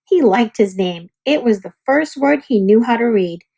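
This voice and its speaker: A woman reading a children's picture book aloud as a storyteller, with deliberate, expressive narration for young listeners. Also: fairly high pitch.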